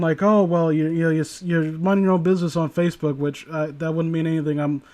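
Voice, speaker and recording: A man casually reading aloud and commenting, sardonic and prone to profane asides. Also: a little high. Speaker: host reading and critiquing internet horror stories.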